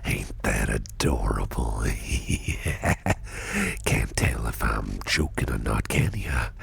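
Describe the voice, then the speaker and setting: A man playing a sinister, flirtatious businessman boss in an ASMR roleplay, speaking close to the mic in a gravelly, breathy near-whisper with a teasing, menacing purr. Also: extremely low pitch, huge pitch swings, extremely slow, a bit bright, heavily acted. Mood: teasing menace.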